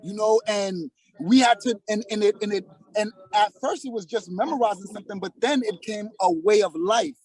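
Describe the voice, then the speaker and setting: A man's voice: high-pitched, moderate pace, a little mellow, husky, no echo, rough recording. A man in his forties, a former student of the host, talking reflectively and filling his speech with "like" and "you know". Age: 40s. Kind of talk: casual reflective chat.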